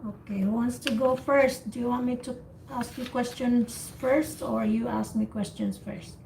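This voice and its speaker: A woman's voice: fairly high pitch, fairly even intonation, a little slow, creaky, darkish tone. A woman hosting an online English speaking practice, asking questions and giving simple explanations and corrections over a group call. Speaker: host and English speaking teacher. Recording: faint background noise on online call.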